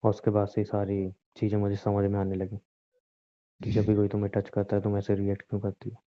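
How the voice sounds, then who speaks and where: Man playing a low, even-voiced devoted husband. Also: a little fast, no echo, natural voice. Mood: earnest, explaining. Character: devoted, reassuring young husband, lightly bossy and teasing. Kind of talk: romantic phone-call style audio drama in Hindi. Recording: muffled, narrow phone-like audio.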